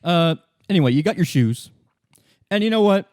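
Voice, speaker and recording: A man running a tabletop game as game master, narrating off the cuff in a halting, informal and wry way. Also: high-pitched, animated, darkish tone, dry recording, studio quality.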